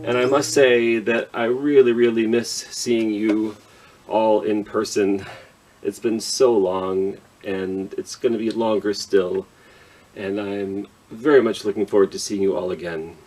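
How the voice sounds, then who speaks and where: A man's voice: lowish voice, fairly even intonation, measured pace, darkish tone, poor audio. A man chatting casually to young children as a storytime host, informal and self-deprecating with rambling asides.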